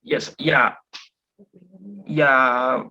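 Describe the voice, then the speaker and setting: Hesitant Congolese student on a muffled call. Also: male voice, mid-range voice, level tone, extremely slow, bright, forceful tone. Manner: hesitant, many fillers. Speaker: attendee, student. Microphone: video call. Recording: dull video-call audio.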